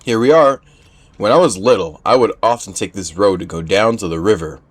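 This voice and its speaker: A man's voice: fairly low pitch, fairly quick, close to an everyday voice. A man reading game dialogue as a caring, worried young patrol woman, earnest and polite. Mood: earnest, concerned.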